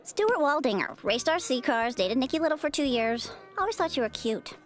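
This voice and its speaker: A woman's voice: high voice, very animated, normal speed, soft background music. A woman playing an eager, flirtatious former classmate in a cartoon. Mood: flirty.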